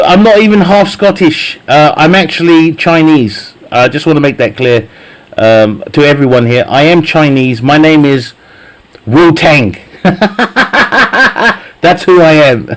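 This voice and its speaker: A man's voice: a little high, expressive, slow, long pauses. Sweary man chatting to stream viewers. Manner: profane, jokey banter, laughs often. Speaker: live streamer. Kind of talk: casual chat with viewers while gaming.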